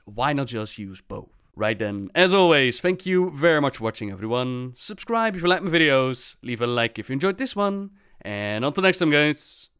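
A man's voice: medium pitch, very animated, normal speed, few pauses, a bit bright, clear, resonant tone, dry recording, studio quality. A man hosting and narrating a scripted story as a voice-over. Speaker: host and narrator.

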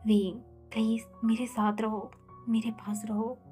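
A woman voicing a tender, doting young husband who speaks in a soft, hushed way. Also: mid-range voice, normal speed, soft background music, natural voice. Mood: pleading.

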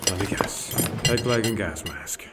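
Bitter wounded soldier for audio drama, male actor: terse, irritable, unhurried and low-pitched. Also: animated, crowd noise, noisy background. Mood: wary. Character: bitter, guarded wounded young Marine lance corporal.

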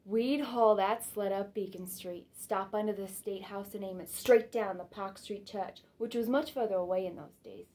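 A woman performing a stage monologue as a wistful older mother who reminisces romantically, in a dramatic way that moves from hushed to exclaiming. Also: mid-range voice, normal speed, hardly any pauses, close to an everyday voice. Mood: nostalgic, rapturous.

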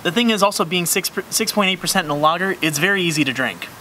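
A young man with a slightly high, bright voice talks through a beer tasting on a sunny patio. He is enthusiastic and descriptive, often repeating words as he searches for them. Faint outdoor sound sits behind him. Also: lively intonation, fast, slight background noise. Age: young adult. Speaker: beer review host. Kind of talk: casual beer tasting review.

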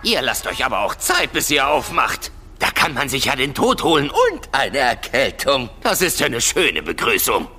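Sharp, grumpy old raven. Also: male voice, mid-range voice, wildly swooping pitch, normal speed, faint music behind. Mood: grumbling. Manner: complaining, indignant. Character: grumpy, elderly male raven, indignant. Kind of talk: children's fantasy audio drama acting.

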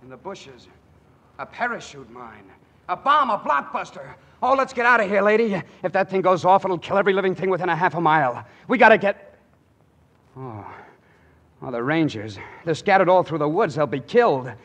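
An actor playing a nervous, self-doubting young soldier with plain colloquial speech in a 1940s radio drama. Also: male voice, high-pitched, expressive, moderate pace, a bit bright, dull audio, exaggerated voice. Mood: panicked. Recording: vintage 1940s radio broadcast.

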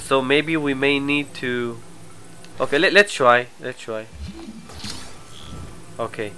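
A man with a cold and a stuffy nose, chatting casually through a live game stream, his asides often trailing off quietly. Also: a little high, a little hiss or hum. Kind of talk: live gameplay commentary.

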